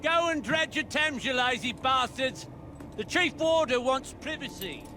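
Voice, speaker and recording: A bullying Victorian prison guard in a video game. He hollers in a very high, abusive voice through heavy outdoor noise. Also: lively intonation, a little slow. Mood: hostile. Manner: shouting, abusive. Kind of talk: video game cutscene acting. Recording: very noisy outdoor urban ambience.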